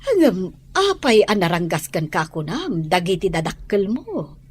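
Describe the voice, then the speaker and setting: A woman's voice: fairly low pitch, sing-song, measured pace, husky, full character voice. A woman playing a kindly, weary lady of the house in an Ilocano audio drama. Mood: soothed, affectionate.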